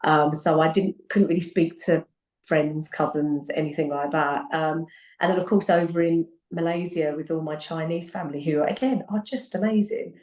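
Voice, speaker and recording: A woman's voice: sits low, moderate pace. Laughing English woman in an echoey room. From England. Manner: animated, laughs often, repeats herself. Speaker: podcast guest. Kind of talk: casual conversation.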